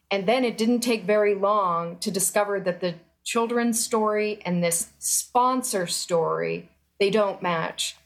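Middle-aged woman guest, earnest and emphatic, a steady mid-pitched voice. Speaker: interview guest, former federal employee. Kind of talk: remote news interview.

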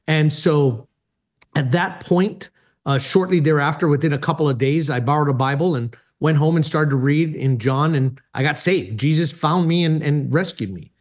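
Earnest middle-aged man, slightly high voice. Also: normal speed. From Hawaii. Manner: earnest, many hesitations and fillers. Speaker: speaker and former pastor. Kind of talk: personal testimony in interview.

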